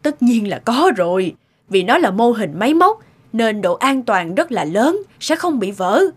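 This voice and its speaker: A woman's voice: a little high, very animated, measured pace, slightly rough, exaggerated voice. A woman voicing a kind but exasperated middle-aged lady of the house in a cartoon moral tale, speaking earnestly. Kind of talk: animated moral-tale voice acting. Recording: music under the voice.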